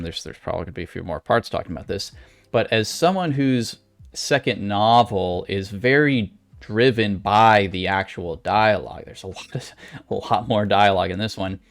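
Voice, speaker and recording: Low-pitched man, casual advice talk. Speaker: author giving writing advice. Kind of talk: casual instructional vlog talk.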